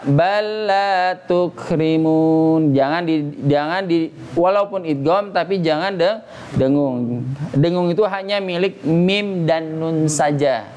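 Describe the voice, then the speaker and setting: A man's voice: fairly high pitch, takes it slowly, darkish tone. A man teaching a tajwid lesson informally and patiently, explaining, reciting short examples and checking with his listeners. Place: small study gathering in a private home.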